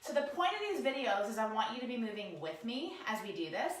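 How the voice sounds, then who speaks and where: A woman with a cold-roughened voice, casually talking viewers through stretches at a work desk while she demonstrates them. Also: fairly high pitch, big pitch swings, quick pace, heavy reverb. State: hoarse from a cold. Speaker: posture and ergonomics instructor. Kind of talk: guided stretching lesson, talking to camera. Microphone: camera mic, sometimes turned away.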